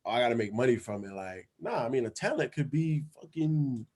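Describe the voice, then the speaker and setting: A man's voice: medium pitch, lively intonation, a little fast, a little mellow. A man hosting a casual podcast chat, talking in a laid-back, slangy way, swearing often and asking "you feel me". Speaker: podcast host.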